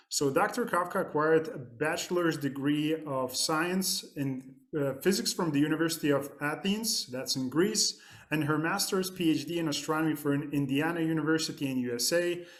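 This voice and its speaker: A man hosting a podcast, introducing his guest eagerly and asking rambling questions full of fillers. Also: a little high. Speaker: podcast host. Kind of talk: casual interview hosting.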